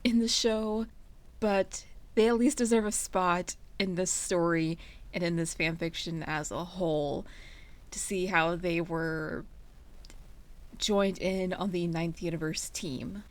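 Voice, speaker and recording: A woman's voice: lowish voice, steady tone, slow. A woman hosting and narrating a fan fiction reading in a casual, storytelling style. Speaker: fan fiction reader and channel host.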